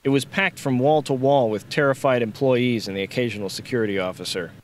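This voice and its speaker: A man's voice: medium pitch, a little fast, slight background noise. A man narrating a novel as an audiobook, reading scripted fiction on a close microphone.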